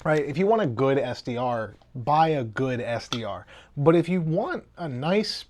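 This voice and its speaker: A man's voice: mid-range voice, sing-song, measured pace, a little mellow. A man giving a casual hands-on review as host of a video.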